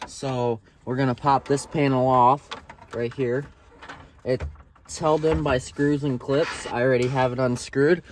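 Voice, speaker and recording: A man's voice: mid-range voice, fairly even intonation, a little slow, a little mellow. A man giving a casual, plain-spoken how-to walkthrough in an informal style. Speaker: DIY presenter. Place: in and around a pickup truck. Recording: clear background noise and handling clicks.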